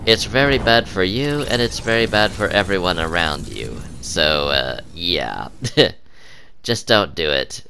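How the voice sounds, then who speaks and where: Low-voiced guy narrating his game slow and halting, lots of uhs, bit sniffly, faint game noise behind. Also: lively intonation, creaky, pushed and bright. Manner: halting, many ums and uhs, chuckles often. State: sniffly with a cold. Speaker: gaming channel host. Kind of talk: casual gameplay commentary.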